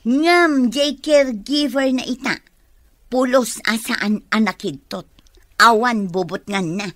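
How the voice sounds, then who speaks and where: A woman's voice: a little high, slow, fairly bright tone, no echo, studio quality. An actress with an Ilocano accent playing a greedy, demanding woman who schemes for money in a radio drama. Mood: scheming. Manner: demanding, conniving.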